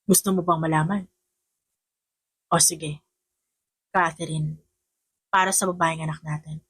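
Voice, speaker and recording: A woman's voice: low voice, steady tone, measured pace, fairly bright tone, breathy edge, no echo, pitched below the performer's own voice, exaggerated voice. A woman with a Filipino accent voicing a devoted young husband and new father in a romance audiobook, tender and earnest. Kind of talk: romance audiobook character acting.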